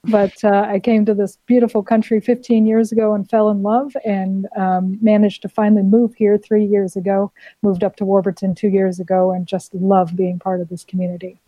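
A woman's voice: medium pitch, flat delivery, normal speed, no echo, highs cut off. A woman with a foreign accent, a community cafe committee member being interviewed on radio, explaining in a friendly way with many fillers. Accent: non-Australian, foreign.

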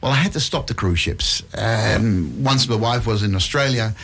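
A man's voice: fairly low pitch, normal speed, creaky, fairly bright tone. A middle-aged man with a Dutch accent, a community radio presenter being interviewed about his life, talking casually with self-deprecating humour and many hesitations. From Netherlands.

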